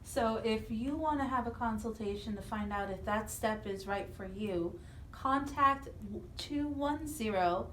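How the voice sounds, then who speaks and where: A woman's voice: fairly high pitch, a little slow. A woman co-hosting a radio talk show, speaking in a presenter's conversational style. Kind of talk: interview questions and announcements. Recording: echoey room with faint noise.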